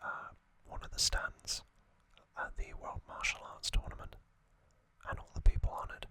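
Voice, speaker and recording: A man whispering an ASMR recap as host, soft and unhurried, with frequent hesitant fillers.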